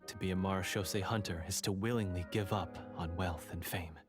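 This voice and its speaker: A man's voice: low-pitched, steady tone, moderate pace, over music, natural voice. An actor voicing a nervous young man who stammers and slips into grand, pompous speeches, in a video game. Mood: solemn. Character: nervous young man, sheepish schemer turned theatrical. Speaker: scriptwriter character in a game.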